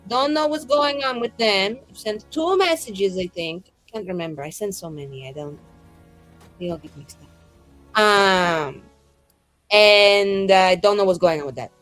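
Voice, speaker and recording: Woman, tabletop roleplayer, casual in-character chat, slangy and flippant, medium pitch, soft background music. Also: lively intonation, a little slow, pauses often, dry recording, poor audio.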